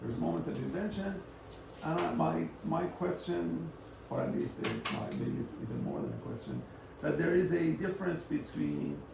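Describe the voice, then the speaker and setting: A man thinking aloud in an unscripted academic architecture discussion, halting and often rephrasing as he moderates. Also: a little high, sing-song. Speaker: discussion moderator responding to speakers. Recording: roomy, distant microphone, clear background noise.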